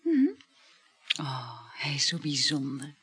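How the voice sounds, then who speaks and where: Breathy, flighty, sensual lover. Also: female voice, a bass voice, sing-song, takes it slowly, a bit bright, exaggerated voice. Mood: coy, dreamy. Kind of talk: Dutch radio drama acting, intimate dialogue.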